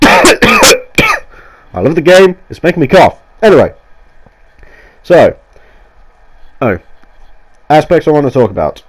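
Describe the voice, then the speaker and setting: A man with a cold, sounding nasal and coughing now and then, rambling through a casual, sweary game review voiceover. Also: medium pitch. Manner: rambling, sweary, enthusiastic, restarts often. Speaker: gaming YouTuber. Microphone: voiceover mic.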